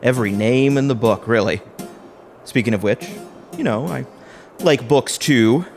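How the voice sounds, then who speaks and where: A man's voice: mid-range voice, unhurried, a little mellow, natural voice. A man acting in an audio play as a resentful husband turned scheming killer, with a theatrical manner. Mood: smug, cold. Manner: theatrical, switching from pleading to smug. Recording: music behind the voice.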